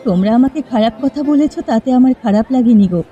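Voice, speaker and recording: Woman playing a high, mellow-voiced village widow. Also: animated, fast, highs cut off, some chatter behind, lightly acted. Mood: sorrowful. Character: grieving but proud, forgiving village widow. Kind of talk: cartoon folk-tale voice acting.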